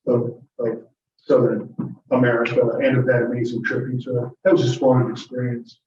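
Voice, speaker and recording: Chatty lecturer, mellow, in an echoey room. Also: male voice, mid-range voice, flat delivery, moderate pace, slight background noise. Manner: chatty, digressive, chuckling. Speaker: guest lecturer. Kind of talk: informal Q&A with audience. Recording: echoey, muffled room from a distance.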